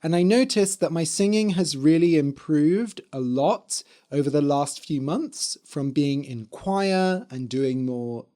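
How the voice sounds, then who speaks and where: A man hosting an English-learning podcast, speaking in a hoarse, slightly dry voice and explaining words clearly for learners. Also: a little high, animated, unhurried, hardly any pauses, dark tone. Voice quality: spells words out letter by letter. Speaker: podcast host and English teacher. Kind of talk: slow, clear teaching talk for learners.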